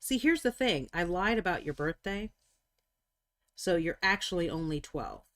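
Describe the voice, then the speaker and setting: Chatty woman in her forties, low voice. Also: sing-song, a bit bright. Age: 40s. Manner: chatty, self-mocking, quick to apologize. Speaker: miniature painting streamer. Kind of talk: casual chat while painting on stream.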